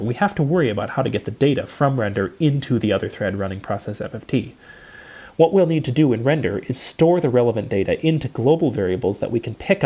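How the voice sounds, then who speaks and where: A man giving a scripted technical lecture, explaining in a measured and precise way. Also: mid-range voice, darkish tone, slightly rough.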